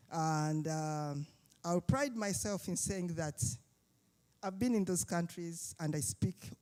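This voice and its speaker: A speaker from Uganda, giving a halting panel talk with many fillers and restarts. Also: mid-range voice, darkish tone. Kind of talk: summit panel speech.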